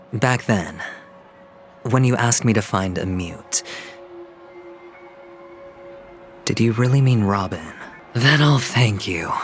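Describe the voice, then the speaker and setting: A man voicing a smooth, cocky young gambler and schemer in a video game, theatrical and teasing, with deliberate dramatic pauses. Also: lowish voice, a little hiss or hum. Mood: taunting, self-assured. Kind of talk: video game cutscene acting.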